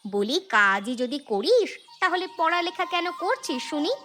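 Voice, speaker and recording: A woman's voice: high-pitched, sing-song, normal speed, bright, forceful tone, soft background music, full character voice. A woman voicing a nosy village neighbour in a cartoon fairy tale, with a sarcastic, scolding way of talking. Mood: mocking.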